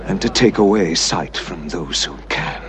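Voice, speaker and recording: An actor playing a solemn, calm male religious teacher in a period film, speaking in a slow, measured, grave way. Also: low voice. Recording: noisy film soundtrack.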